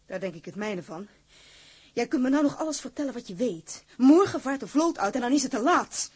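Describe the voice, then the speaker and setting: An actress playing a bold, loyal captain's wife in a Dutch period drama, blunt and defiant in manner. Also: female voice, on the high side, animated, a little fast, a little mellow, husky. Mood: defiant, determined.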